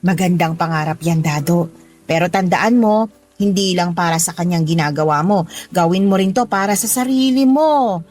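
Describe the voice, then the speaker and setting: An actress playing a kindly married boss in a Filipino radio drama, giving firm, nurturing advice in a Filipino accent. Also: female voice, fairly low pitch, normal speed, darkish tone, lightly acted. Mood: warm, advising. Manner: advising, nurturing, firm reminders. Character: kindly, motherly married female boss teaching street-food vending. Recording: music playing behind the voice.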